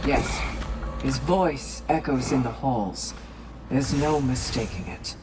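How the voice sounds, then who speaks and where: An actress playing a solemn, resolute, devoted warrior ally in a video game, speaking with deliberate weight. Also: female voice, extremely low pitch, over music. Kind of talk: dramatic video game acting.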